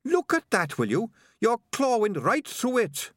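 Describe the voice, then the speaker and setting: High-pitched, exasperated rat underling. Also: male voice, wildly swooping pitch, measured pace, no echo, clean studio sound, full character voice. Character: anxious, exasperated male rat messenger, excitable underling.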